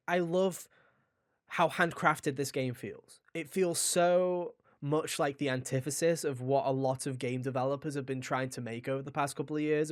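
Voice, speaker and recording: A man hosting a gaming podcast, talking casually in an enthusiastic, rambling way with some swearing. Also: mid-range voice. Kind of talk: casual video game discussion.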